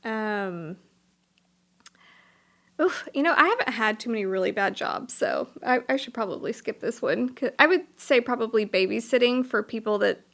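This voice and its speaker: A woman with a voice that sits on the high side and often drops into a creaky fry. She chats in a relaxed way on a podcast, pausing and hedging as she goes. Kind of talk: casual chat.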